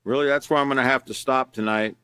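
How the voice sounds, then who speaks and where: A man teaching a character education class, reading from a workbook and explaining it in a loud, earnest way with many fillers and restarts. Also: medium pitch, fairly even intonation, fast talker, clear, resonant tone, dry recording. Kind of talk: reading and explaining a lesson live.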